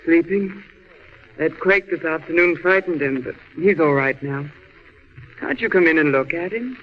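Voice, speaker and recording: A woman playing a gentle, anxious alien wife and mother in an old-style radio drama, earnest and questioning. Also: deep voice, moderate pace, close to an everyday voice. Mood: tender, pleading. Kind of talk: 1940s radio drama acting. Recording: muffled, hissy old radio recording.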